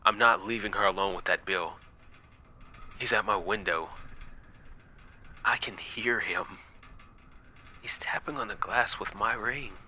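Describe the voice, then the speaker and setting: Man acting a weary, sharp-edged night watchman. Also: fairly low pitch. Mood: hushed, afraid. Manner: halting, dramatic, breaking into whispers. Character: exhausted, frightened young night watchman recording desperate log entries. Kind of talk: first-person horror audio log narration.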